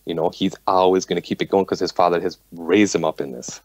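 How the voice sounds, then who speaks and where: A man who makes reggae rhythms, talking passionately and fast in a casual radio discussion. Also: deep voice, fairly even intonation, a little mellow, dry recording, dull audio. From United States. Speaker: reggae producer and musician.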